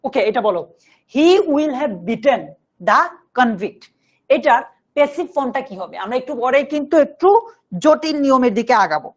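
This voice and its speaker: A woman with a Bangladeshi accent teaching a live online grammar class, explaining step by step, slowly dictating examples and checking that students follow. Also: high-pitched, expressive. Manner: instructive, repeatedly checking understanding, slowly dictating example sentences.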